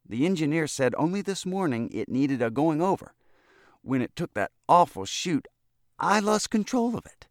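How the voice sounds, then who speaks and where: A man's voice: a little high, big pitch swings, moderate pace, no room sound, studio quality, voice pushed up. A man voicing a cheeky young elevator boy in a storybook, pleading with hurt innocence in folksy, ungrammatical speech.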